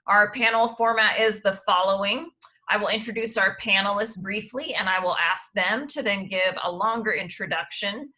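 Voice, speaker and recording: A woman, an admissions dean, hosting an online panel and giving clear instructions over a video call. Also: lowish voice, pushed and bright. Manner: organized, gives instructions, occasional fillers.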